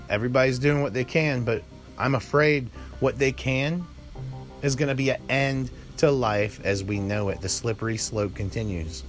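An American man hosts a solo podcast at a close mic, his pitch sitting in the middle range. He rambles anxiously and keeps stressing his points with repeated words. Faint music plays quietly behind him. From United States. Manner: worried, rambling, repeats "period" for emphasis. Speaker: podcast host.

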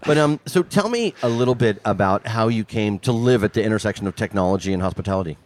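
A man's voice: lowish voice, lively intonation, fast talker. A man hosting a casual live interview in a hotel, joking and plain-spoken. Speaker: podcast host. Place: hotel lounge.

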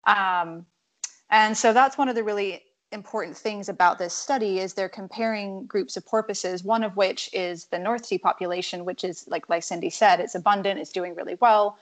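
A woman from Scotland co-hosts a science podcast at an ordinary, mid-range pitch. She explains things at an easy, conversational pace and pauses on fillers as she works through her ideas. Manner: explanatory, thinking aloud with fillers. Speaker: podcast co-host. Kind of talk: casual science chat.

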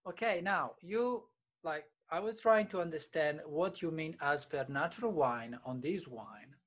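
Male interviewer, fairly high voice, halting, stops and restarts often. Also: expressive, fairly bright tone. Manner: halting, many fillers and restarts. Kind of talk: casual interview.

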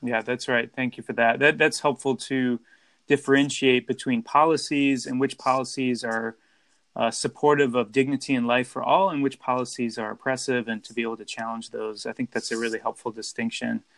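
A man's voice: medium pitch, fairly even intonation, fairly quick, fairly bright tone, no echo. A man interviewing a guest, affirming each answer and thanking him before his next question. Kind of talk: conversational interview.

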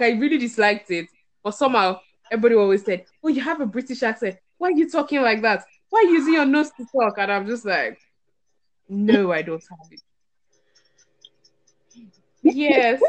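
A woman's voice: fairly high pitch, animated, brisk, poor audio. A woman hosting a podcast in a chatty, playful way. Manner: chatty, playful, laughs often. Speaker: podcast host. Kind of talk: casual chat.

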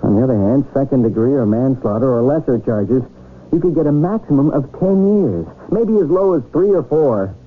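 An actor playing an insistent, probing male defense attorney in a husky voice, in a 1970s radio drama on a tinny old recording. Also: medium pitch, animated, normal speed, a little hiss or hum, natural voice. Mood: urgent, reasoning. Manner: urgent, probing, argumentative. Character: insistent male court-appointed defense attorney pressing his client.

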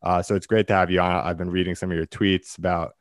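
A man hosting a podcast, reading a sponsor spot and introducing his guest in an earnest, measured way with some hesitations. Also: sits low, little pitch movement, dry recording. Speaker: podcast host. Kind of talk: ad read and interview intro.

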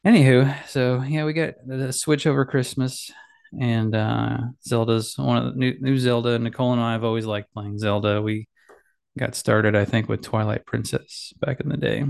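Rambling man with a gravelly, slightly nasal voice. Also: mid-range voice, fairly even intonation, moderate pace, a little mellow. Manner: rambling, self-deprecating, many fillers and restarts. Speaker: podcast host. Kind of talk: casual solo podcast chat. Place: small home office. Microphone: boom mic with headphones.

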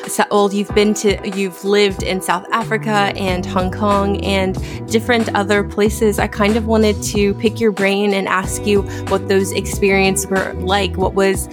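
A woman hosts the conversation in a friendly, encouraging way, loose and chatty as she asks questions. Her voice sits at a middle pitch. Music plays clearly underneath. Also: little pitch movement, measured pace, few pauses. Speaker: podcast host. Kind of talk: conversational interview.

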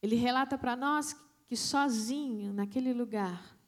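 A woman missionary, telling a testimony in a sermon, with dramatic pauses and hushed emphasis. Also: fairly high pitch. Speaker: missionary preacher.

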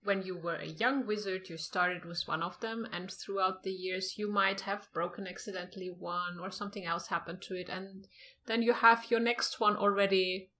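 A woman co-hosting a podcast in casual chat, quick to agree and laugh along. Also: medium pitch, fairly even intonation, pushed and bright, a little hiss or hum. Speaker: podcast co-host.

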